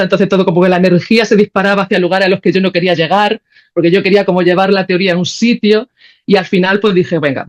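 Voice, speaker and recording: A woman's voice: on the low side, darkish tone. A woman answering as a podcast guest, explaining ideas conversationally and thinking aloud, often checking that she is understood. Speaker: podcast guest, sound creator.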